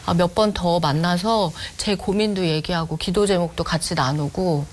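A woman guest with a low-toned voice, giving personal testimony in an interview in polite, deferential, formal speech. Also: steady tone, moderate pace.

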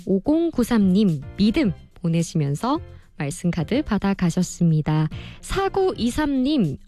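A woman hosting a radio music show, reading listener messages in a polite, expressive presenter style. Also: a little high. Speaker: radio host and producer. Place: radio studio.

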